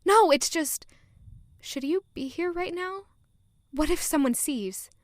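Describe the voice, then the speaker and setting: A woman's voice: high-pitched, expressive, takes it slowly, dry recording. A woman playing a flustered, self-critical young woman in a romantic period fantasy audio drama, with formal, self-deprecating wording. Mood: flustered, defensive. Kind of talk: audio drama acting with first-person narration.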